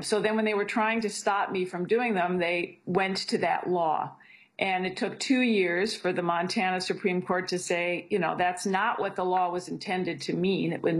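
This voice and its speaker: A middle-aged woman, a physician assistant, speaking earnestly in a news interview, often restarting her sentences. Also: on the low side. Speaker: physician assistant, abortion provider.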